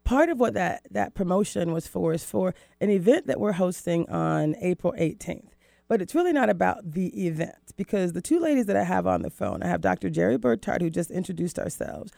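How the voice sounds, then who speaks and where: Creaky-voiced woman hosting talk radio. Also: on the low side, big pitch swings, moderate pace, mellow, dry recording, studio quality. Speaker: radio show host. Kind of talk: conversational live radio talk. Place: dry radio studio.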